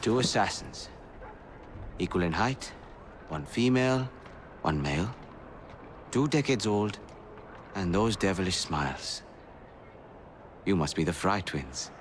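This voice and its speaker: A courteous assassin with formal, earnest speech. His voice sits on the low side. Faint background noise stays under it. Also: lively intonation, slow, pauses often, heavily acted. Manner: formal, polite.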